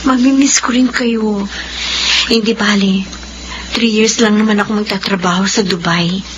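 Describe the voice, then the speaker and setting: A woman's voice: medium pitch, steady tone, normal speed, noisy background, natural voice. An actress with a Filipino accent playing a hurt, resolute, hardworking wife and mother in a radio drama. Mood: resolute, sad.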